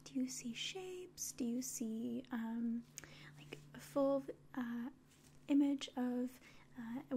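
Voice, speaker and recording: Slow, whispering young woman doing ASMR. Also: high voice, a little hiss or hum. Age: 20s. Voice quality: breathy whisper and very soft speech. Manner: gentle, hesitant, polite clinical instructions. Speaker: ASMR roleplayer playing an alien doctor. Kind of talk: whispered ASMR medical exam roleplay. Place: small indoor room at home.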